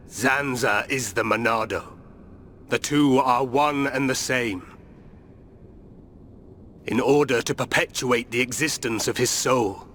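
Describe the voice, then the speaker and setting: An actor playing a grave, sorrowful and vengeful war leader in a video game cutscene, with a solemn, formal, deliberate delivery. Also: male voice, mid-range voice, bright, forceful tone, a little hiss or hum.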